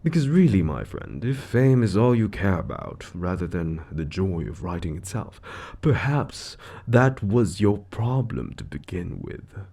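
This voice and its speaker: A man's voice: sits low, sing-song, unhurried, mellow, full character voice. A man voicing a suave, gloating devil lord in an audio roleplay, theatrical and condescending, savoring his words as he toys with a mortal. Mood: mocking sympathy. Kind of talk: scripted audio roleplay monologue.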